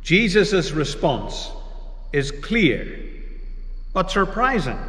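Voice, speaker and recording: Male pastor, high voice, slow deliberate sermon delivery, long church reverb. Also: sing-song, fairly bright tone. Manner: slow, measured preaching with pauses. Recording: echoey church hall reverb.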